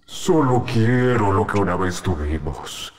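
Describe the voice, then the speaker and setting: A man with a Latin American accent voicing a menacing, weary, obsessive monster dog in a cartoon fan dub, brooding and deliberate. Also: on the low side, animated. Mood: brooding, sorrowful. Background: music.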